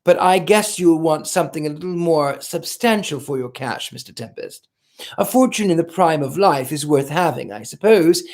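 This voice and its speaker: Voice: medium pitch, expressive, moderate pace, a little mellow, close to an everyday voice. A reader voicing a chatty, frank young American society woman in a novel read aloud, with breezy, naive chatter. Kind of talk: audiobook character voice.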